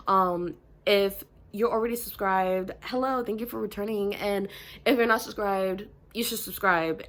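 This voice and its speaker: Congested Southern woman, bright chatty vlogger. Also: mid-range voice. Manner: chatty and playful, trails off with lots of ums and y'alls. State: congested, stuffed up. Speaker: YouTuber reading Reddit stories. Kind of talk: casual vlog chat. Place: home room, indoors.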